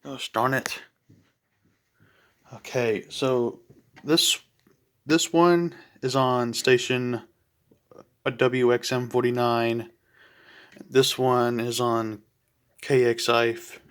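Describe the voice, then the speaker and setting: Slow-talking man in casual vlog chat. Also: mid-range voice, steady tone. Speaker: hobbyist filming weather radios. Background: faint noise, weather radios.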